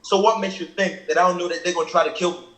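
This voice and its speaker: A man's voice: high voice, fairly even intonation, rapid-fire, fairly bright tone. Guy, kenyang is his first tongue, breaks down his bars, keeps saying you feel me, kinda echoey. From Cameroon. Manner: earnest, explains at length, keeps asking "you feel me". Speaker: rapper explaining his lyrics. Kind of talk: casual reaction chat. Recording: echoey room.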